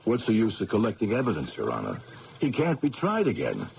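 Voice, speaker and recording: Skeptical police lieutenant with hugely swinging pitch. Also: male voice, wildly swooping pitch, brisk, gravelly, darkish tone, full character voice. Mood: doubtful. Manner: plain-spoken, questioning, deferential. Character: skeptical, world-weary male police lieutenant. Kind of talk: radio drama acting. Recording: muffled old radio recording with hiss.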